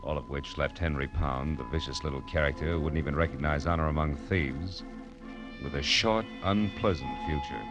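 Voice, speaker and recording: Tough sardonic private eye, deep voice, dry and clipped, old radio noir w/ some music. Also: male voice, moderate pace. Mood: wry, world-weary. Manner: wry, clipped, dry delivery. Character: hard-boiled, sardonic male private detective. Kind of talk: 1940s-50s radio noir acting and narration. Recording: vintage radio broadcast recording.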